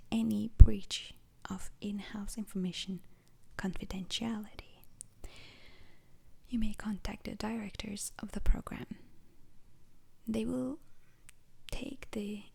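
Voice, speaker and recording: Female performer as formal intergalactic instructor for ASMR roleplay; breathy, husky whisper with some crackle, calm halting delivery. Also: medium pitch, exaggerated voice. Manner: slow, deliberate, halting, formal.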